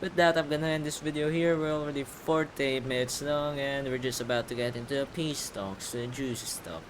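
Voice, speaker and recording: Male gamer, mid-pitched voice, informal joking commentary, sweary, faint noise. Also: brisk. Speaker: gaming video creator. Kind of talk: casual playthrough commentary.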